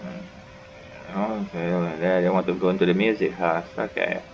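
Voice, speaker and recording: A man's voice: low voice, fairly even intonation, normal speed, creaky, a little hiss or hum. A man giving casual, musing commentary as he plays through a game, half talking to himself. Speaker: gaming video host. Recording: dull, lo-fi mic over game music. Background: faint game music.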